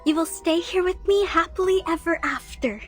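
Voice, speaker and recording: A woman's voice: very high voice, moderate pace, faint music behind. A woman voicing a lonely, clingy, childlike girl of the forest in a video game, with a wistful, pleading way of talking. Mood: eerie, tender.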